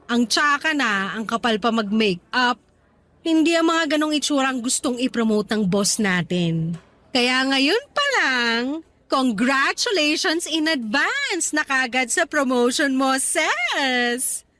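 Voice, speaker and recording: An actress playing a gossipy, catty young office friend in a radio drama, with a mocking, chatty delivery. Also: female voice, high voice, lively intonation, slow, pushed and bright, heavily acted. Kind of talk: Tagalog radio drama dialogue.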